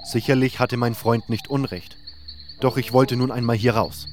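A man's voice: mid-range voice, steady tone, normal speed, a little mellow, a little hiss or hum. A man narrating a fantasy audiobook in the first person, reading from a script.

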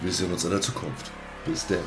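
A man with a fairly low voice talks casually as a podcast co-host. His speech is colloquial and full of slang, and he often doubles up words while he talks. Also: lively intonation, fast, faint music behind.

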